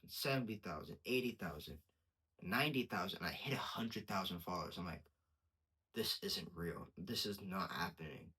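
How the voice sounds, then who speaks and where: A young man with a stutter gives a casual personal testimony as a podcast host, repeating words and pausing mid-sentence. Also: fairly low pitch, pushed and bright, breathy edge, low-quality recording.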